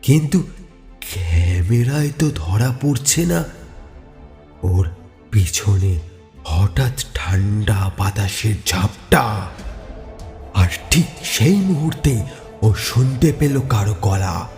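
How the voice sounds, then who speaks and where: Slow, dark-voiced male horror narrator. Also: lowish voice, expressive, faint music behind. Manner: deliberate, dramatic pauses. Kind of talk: scripted suspense narration.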